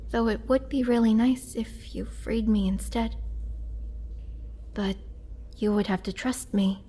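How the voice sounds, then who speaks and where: Slow, halting vampire woman prisoner, acted by a woman. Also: a little high, steady tone, dark tone, slightly rough. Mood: pleading, lonely. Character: lonely immortal vampire woman prisoner, young-looking, wry and pleading. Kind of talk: dramatic girlfriend roleplay audio acting.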